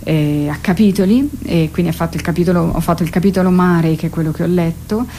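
A woman's voice: fairly low pitch, steady tone, fast, mellow, slight background noise. A woman speaking reflectively as a theatre artist in a radio interview, hesitant and searching for her words. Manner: hesitant, searching, thinking aloud. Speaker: guest, theatre artist and author.

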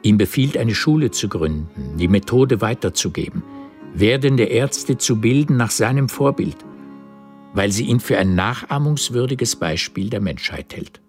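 A man narrating a radio drama in a measured, terse literary style. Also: on the low side, animated, a little mellow, faint music behind. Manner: measured, terse present-tense storytelling.

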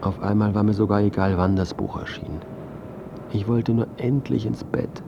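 Smug art critic, low muffled voice. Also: male voice, fairly even intonation, normal speed, vocal fry, noisy background. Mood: weary, reflective. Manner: self-important, glib, evasive. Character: smug, scheming male art critic, self-important narrator. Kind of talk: radio drama narration and dialogue.

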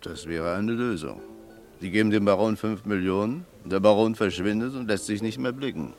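Brief: older male actor as a gruff, worldly man with a low voice, over faint music. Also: moderate pace, a little mellow, close to an everyday voice. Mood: brusque. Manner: brusque, then musing and confiding. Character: brusque older man with a false name, confiding. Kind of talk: radio play acting.

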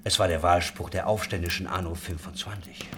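A man's voice: sits low, steady tone, a little fast, fairly bright tone, slight background noise. An actor playing a worldly, idealistic craftsman who leads a religious commune, musing and talkative, in a German historical radio drama. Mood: reflective.

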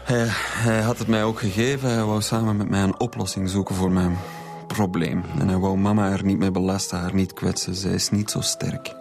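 Troubled student son under questioning: Flemish, deep creaky voice, hesitant, defensive, radio drama. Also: male voice, flat delivery, normal speed, few pauses, over music. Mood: confessing, anxious. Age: young adult. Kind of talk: naturalistic radio drama dialogue.

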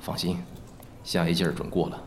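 A man's voice: low voice, fairly even intonation, fairly quick, slight background noise, natural voice. A man playing a teasing, cocky, easygoing film actor in an audio drama, with a playful drawl. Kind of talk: Chinese audio drama acting.

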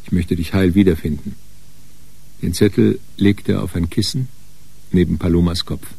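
Male narrator for crime radio play, very deep and husky, scripted delivery. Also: steady tone, normal speed, warm tone.

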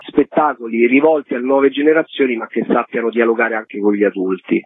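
A man's voice: medium pitch, husky, no echo. A man explaining a programme as an interview guest, in long, winding sentences. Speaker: theatre festival curator, interview guest. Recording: thin phone-like line.

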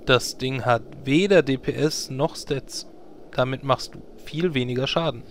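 A man's voice: mid-range voice, unhurried, a little hiss or hum, close to an everyday voice. A man acting a sensible, blunt, matter-of-fact adventurer in a comedic fantasy game audio drama.

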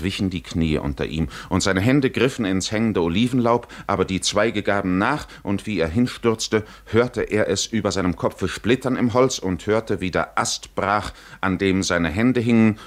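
A man narrating a literary 1950s radio drama in a grave, measured, deliberate storytelling manner. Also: low-pitched.

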